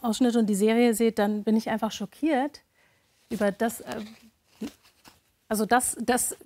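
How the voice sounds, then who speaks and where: A woman's voice: fairly high pitch, fairly even intonation, measured pace, dark tone. A woman in her thirties hosting a TV talk show in a studio, interviewing in a conversational way. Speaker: TV host, interviewer. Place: TV studio, indoors. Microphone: lapel mic.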